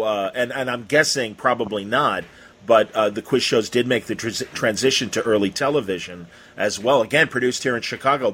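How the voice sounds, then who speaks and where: Man, medium pitch, raspy husky tone, casual interviewer, affirming, enthusiastic, loosely rambling phrasing. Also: fairly bright tone.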